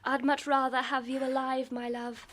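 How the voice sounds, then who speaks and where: An actress playing a devoted, hopeful young bride in a live radio drama, tender and earnest. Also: female voice, high voice, flat delivery, moderate pace, a bit bright. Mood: affectionate. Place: live on a theatre stage. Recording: noisy live theatre stage recording.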